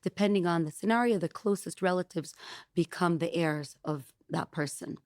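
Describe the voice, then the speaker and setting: A woman attorney calmly lays out her points, walking through examples one by one. Her voice sits low for a woman, and the sound is close and dry. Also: moderate pace, no room sound. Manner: explanatory, methodical, gives examples. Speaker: attorney guest. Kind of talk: expert interview explanation.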